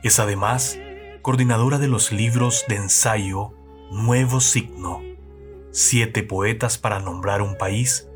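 A man reads a scripted introduction very slowly and deliberately, with a lowish voice. He gives each phrase weight and space. A clear music bed plays loudly under him. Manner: slow, measured, solemn reading. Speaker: narrator introducing the episode. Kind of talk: scripted narration over music. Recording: narration over loud music bed.

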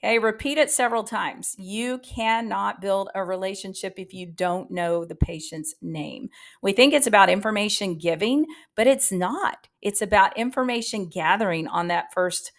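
A woman's voice: mid-range voice, moderate pace. A woman hosting a podcast as a practice coach, speaking straight to her listeners in an emphatic way with rhetorical questions.

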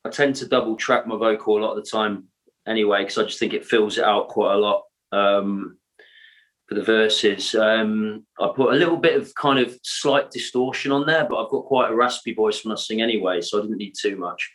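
A man with a lowish voice explains how he records his songs in a relaxed podcast chat. He talks easily and drops dry jokes about himself. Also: normal speed, poor audio. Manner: dry, joking asides. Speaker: band singer. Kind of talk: casual podcast interview.

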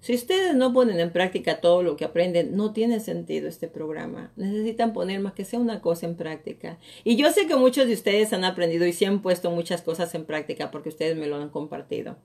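A woman's voice: fairly low pitch, animated, brisk, gravelly, few pauses, darkish tone. A middle-aged woman, giving an emphatic motivational talk as a coach on a live show, often repeating phrases. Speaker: real estate coach and live show host.

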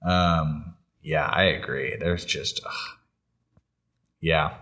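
A man's voice: rumbling low, steady tone, very slow, bright, forceful tone. A man co-hosting a podcast, talking in an informal, conversational way. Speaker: podcast co-host. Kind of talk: casual chat.

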